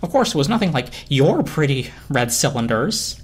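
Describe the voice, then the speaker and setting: Helpful little lamp played by a female reader, swooping animated pitch, musing, eager, storybook style. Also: normal speed, a little hiss or hum. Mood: eager, thoughtful. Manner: musing, piping up.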